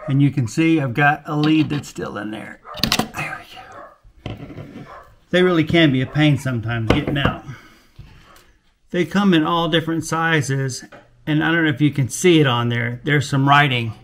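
A man's voice: on the high side, moderate pace, long pauses, very noisy. Folksy older man explaining a home repair. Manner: relaxed, folksy, plain-spoken explaining. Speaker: DIY video host. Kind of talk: casual how-to demonstration. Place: home kitchen, indoors. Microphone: lapel mic.

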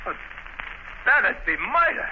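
Nervous crook sidekick, really high voice, 30s radio acting, tinny sound. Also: male voice, very animated, takes it slowly, heavily acted. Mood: alarmed. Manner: anxious, agitated. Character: jittery, frightened male criminal henchman. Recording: tinny, noisy 1930s radio recording.

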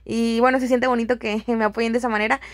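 High-voiced Mexican woman chatting casually. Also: level tone, fast talker, no echo, studio quality. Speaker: home decor vlogger. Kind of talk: casual chatty voice-over narration.

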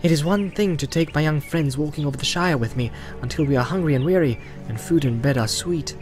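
Man voicing an earnest, burdened gentleman hobbit. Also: a little high, brisk, faint music behind, natural voice. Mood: brooding.

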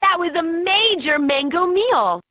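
A woman's voice: very high voice, animated, unhurried, bright, forceful tone, dry recording, full character voice. A woman voicing an upbeat guide in a children's educational animal game, in scripted narration with slightly dull game audio.

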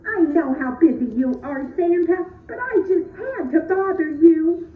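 A woman voicing an excitable, earnest young elf helper in a children's puppet show. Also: very high-pitched. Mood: anxious. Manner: earnest, exclamatory, drawn-out delivery. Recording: roomy, distant, muffled phone-like audio.

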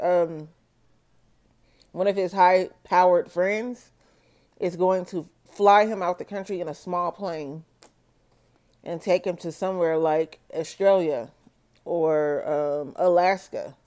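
A woman doing a solo tarot reading for her viewers, talking slowly and checking in with "okay?". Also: on the low side, a little mellow. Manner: slow, measured, repeats "okay?" to viewers. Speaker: tarot reader.

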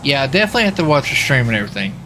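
A man's voice: mid-range voice, very animated, rapid-fire, bright, forceful tone, slight background noise, rough recording. A young man chatting casually while livestreaming a game, rambling and repeating himself as he goes. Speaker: livestreamer and role-player. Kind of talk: casual chat while gaming.

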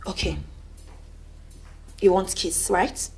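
A woman playing a wife in a comedy skit, speaking softly and teasingly, half whispering. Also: lowish voice, fairly even intonation, slow pace, soft background music. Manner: soft, hushed, teasing.

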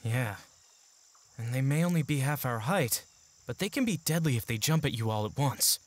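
A man's voice: medium pitch, expressive, moderate pace, lightly acted. Man playing a curt young mercenary. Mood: focused, hushed. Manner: curt, earnest, tactical. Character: serious, gruff-mannered young male mercenary in training. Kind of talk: fantasy audio drama acting. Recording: faint music behind the voice.

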